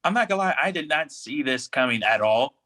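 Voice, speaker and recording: A man with a bright, crisp-edged voice pitched a little high. He speaks informally and with slang, as a co-host in a casual entertainment discussion. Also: animated, brisk. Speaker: podcast co-host. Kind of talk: casual pop culture discussion.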